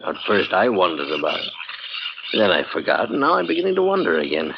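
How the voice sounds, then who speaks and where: An actor playing a gruff, blunt outlaw in an old radio drama, with a gravelly voice. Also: male voice, low-pitched, wildly swooping pitch, quick pace, full character voice. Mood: troubled, suspicious. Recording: dull, noisy old radio recording.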